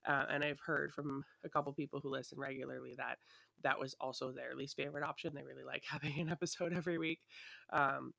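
A woman's voice: rumbling low, little pitch movement, fast talker, creaky, sharp tone, no room sound. A young woman hosting her own podcast, talking in a chatty, rambling way with lots of fillers, still a little sniffly from a cold. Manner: chatty and rambling, many fillers, laughs lightly. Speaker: podcast host. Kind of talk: casual solo podcast chat. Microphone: her own desktop microphone.

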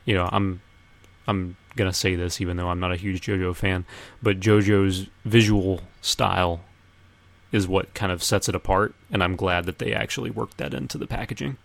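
A man with a fairly low voice and plenty of vocal fry. He talks casually about pop culture as a podcast co-host. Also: fairly even intonation, moderate pace.

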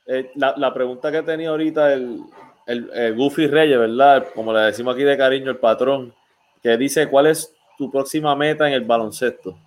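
A man's voice: mid-range voice, fairly even intonation, normal speed. A man in his forties hosting a sports podcast, chatting in a friendly, teasing way. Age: 40s. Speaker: podcast host. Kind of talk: casual sports chat.

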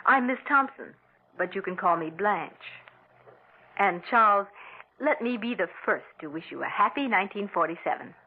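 A kind American nurse in a 1940s radio drama. Her husky voice rises and falls widely, soothing and a little coy, heard through muffled old broadcast sound. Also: medium pitch, animated, moderate pace. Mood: friendly, coy. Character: kind, friendly, gently flirtatious female nurse.

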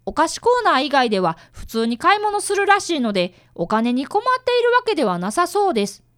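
A woman's voice: sits high, big pitch swings, a little fast, a bit bright, no room sound, studio quality. A woman voicing an earnest, polite fifth-grade boy apprentice detective who narrates a radio drama. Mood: serious narrating. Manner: polite, youthful, explanatory. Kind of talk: radio drama acting with first-person narration.

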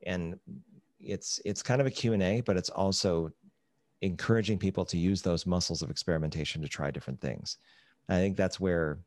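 Middle-aged male co-host, very deep voice, dry close sound, measured and thoughtful. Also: a little mellow, no echo. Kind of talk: casual interview chat.

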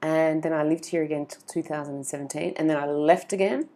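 A woman's voice: sits low, steady tone, quick pace, dark tone. An Australian woman in her forties, chatting as an interview guest, rambling with lots of fillers and restarts. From Sydney, Australia. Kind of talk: casual interview.

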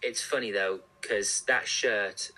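A man's voice: lowish voice, fairly even intonation, slow pace, a crowd in the background. A man hosting a podcast, asking questions in long, rambling, enthusiastic runs. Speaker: podcast host. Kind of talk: casual podcast interview.

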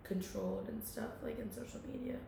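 A woman's voice: on the high side, steady tone, a little fast, creaky. A woman in her twenties from the Philippines, chatting casually as a guest and filling her talk with "like.". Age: 20s. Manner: chatty, many "like" fillers, laughs often. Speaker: guest, student and local model. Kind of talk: casual chat. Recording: very echoey room, faint hiss.

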